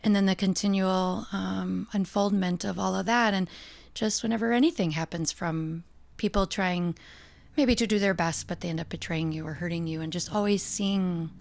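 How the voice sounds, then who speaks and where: A woman's voice: medium pitch, fairly even intonation, moderate pace, gravelly. A middle-aged American woman talking as a podcast guest, reflective and earnest, laughing easily. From United States. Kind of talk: casual interview talk.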